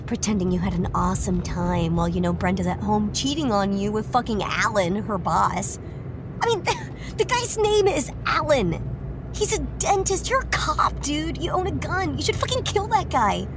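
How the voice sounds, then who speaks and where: A woman's voice: mid-range voice, sing-song, normal speed. An actress playing a bitter, foul-mouthed fourteen-year-old girl in an audio drama, blunt and sarcastic in teenage slang. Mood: angry, exasperated. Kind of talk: naturalistic scripted audio drama acting. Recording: noisy outdoor ambience with vehicle sounds.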